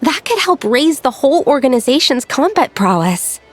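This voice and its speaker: An earnest young researcher in a video game, with a slightly high voice. She explains carefully and precisely. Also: very animated, normal speed, soft background music.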